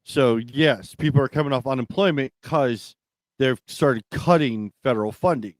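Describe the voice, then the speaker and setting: A man's voice: medium pitch, moderate pace, no room sound. A man hosts casual talk radio in a very dry, close-sounding space. His manner is blunt and opinionated, and he swears freely in loose, conversational speech. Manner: blunt, profane.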